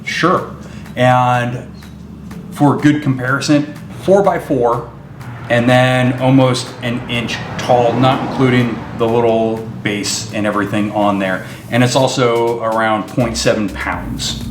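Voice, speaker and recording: A man's voice: mid-range voice, fairly even intonation, slow pace, noisy background. A man giving a casual, informal how-to walkthrough of a gear install, explaining as he goes. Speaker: co-host of a vehicle gear channel.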